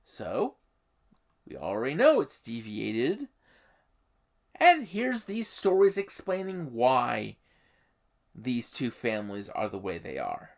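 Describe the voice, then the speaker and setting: Slow, animated explainer with emphatic pauses. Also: medium pitch, gravelly. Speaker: YouTube host. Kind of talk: explanatory commentary on a game setting.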